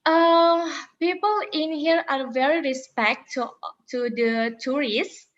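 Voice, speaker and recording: A young woman from Indonesia with an Indonesian accent, answering interview questions in English with frequent pauses and fillers. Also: high-pitched, fairly even intonation, lo-fi sound. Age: 20s. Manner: hesitant, many fillers and restarts. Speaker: interview guest, former intern.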